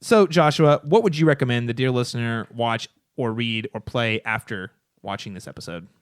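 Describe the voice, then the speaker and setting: A man's voice: mid-range voice, moderate pace, smooth, no echo, studio quality. A man co-hosting a podcast in casual, informal banter, repeating phrases for emphasis. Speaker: podcast co-host.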